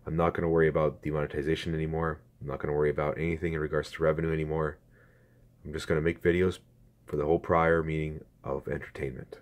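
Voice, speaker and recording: A man in his twenties talks to his viewers through a phone camera, earnest and halting, with a strained throat. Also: a bass voice, little pitch movement. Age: 20s. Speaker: YouTuber. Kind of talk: casual talk to camera.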